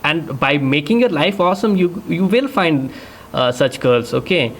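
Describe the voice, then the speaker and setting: A man's voice: on the high side, expressive, normal speed. A man with an Indian accent, coaching on a casual call in mixed English and Hindi, repeating himself and checking for agreement. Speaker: dating coach, host.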